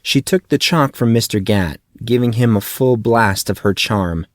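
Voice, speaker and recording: A man's voice: medium pitch, normal speed, no room sound, studio quality. A man reading fiction aloud as a scripted audiobook narrator.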